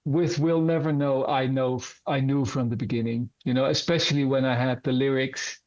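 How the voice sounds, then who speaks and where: A man being interviewed about his music, answering in an earnest, animated way. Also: mid-range voice, normal speed. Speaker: musician guest. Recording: dull lo-fi audio.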